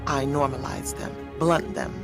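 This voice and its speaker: A woman playing Silence as a menacing, defiant spirit narrating a radio drama in a deliberate, declamatory way. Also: rumbling low, steady tone, vocal fry, exaggerated voice. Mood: ominous, grave. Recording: produced radio drama over loud music.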